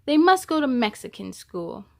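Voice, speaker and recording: A woman's voice: high voice, very animated, moderate pace, creaky, heavily acted. A woman storyteller voicing a strict, curt school secretary in a children's picture book. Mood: stern.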